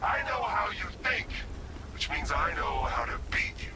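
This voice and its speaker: A man's voice: fairly high pitch, sing-song, a little slow, background noise. An actor playing a cocky, taunting male military villain in a video game, gloating and aggressive. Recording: music behind voice.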